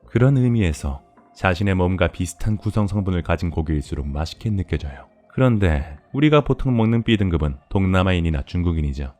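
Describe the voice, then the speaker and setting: Low-pitched, chillingly polite cannibal farmer for horror audio drama man acting; unhurried, gloating lecturer, music underneath. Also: animated, darkish tone. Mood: smug, relishing. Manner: courteous, leisurely explaining, gloating. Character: polite, sadistic male cannibal farmer, chillingly courteous villain. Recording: music behind the voice.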